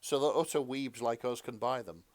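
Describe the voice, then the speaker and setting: A man's voice: mid-range voice, moderate pace. A man co-hosting a podcast in casual banter, hesitant and self-deprecating as he talks. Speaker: podcast co-host.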